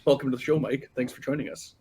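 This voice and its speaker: A man co-hosting a podcast who teases the others, introduces the guest and asks questions with halting restarts. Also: medium pitch, a little mellow, husky. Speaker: podcast co-host.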